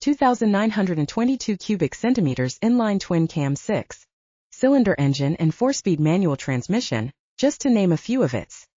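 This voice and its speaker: A woman's voice: mid-range voice, big pitch swings, moderate pace, mellow, no echo. A woman voicing an intense, earnest adult male werewolf with formal phrasing in an audiobook story. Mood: enthralled. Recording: dull lo-fi audiobook recording.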